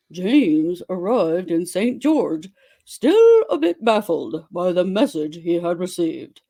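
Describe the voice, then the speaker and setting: A performer playing a mock romance-novel author, giving a theatrical, deliberate, tongue-in-cheek dramatic reading. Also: medium pitch, dark tone. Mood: mock solemn. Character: Fabio Toadface, mock romance-novel author alter ego.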